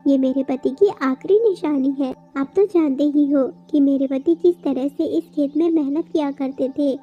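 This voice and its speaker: Woman voicing a high-pitched, pleading mother bird. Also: lo-fi sound, lightly acted. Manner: pleading, earnest. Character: anxious, pleading widowed mother bird, hardworking and tender. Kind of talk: Hindi children's cartoon dialogue.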